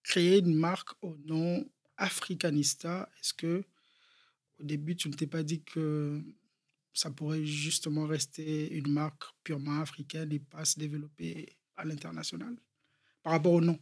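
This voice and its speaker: A man's voice: a little high, little pitch movement, normal speed, gravelly, a little mellow, breathy edge. A man hosting an interview, asking short questions in a friendly, curious way. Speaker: host. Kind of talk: interview conversation.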